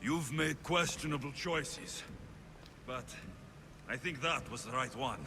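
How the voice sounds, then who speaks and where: A man's voice: mid-range voice, animated, slow, creaky, background noise. An actor playing a blunt, wary young male Greek mercenary hero in a dramatic video game cutscene, full of pointed questions. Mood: subdued.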